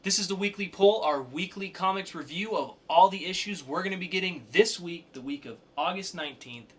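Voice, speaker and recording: Male podcast co-host, bright tone, casual comic-review delivery, conversational with punched announcements. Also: high-pitched, fairly quick.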